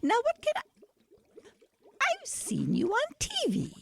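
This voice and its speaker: A genteel witch in a radio play. The voice is high and crackly, sweeping dramatically up and down. The witch is theatrical, deliberate and polite, with a firm sense of honor. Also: huge pitch swings, gravelly, full character voice. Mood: imperious, pleasant. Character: genteel, principled witch with sly courtesy. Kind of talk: radio-play character acting.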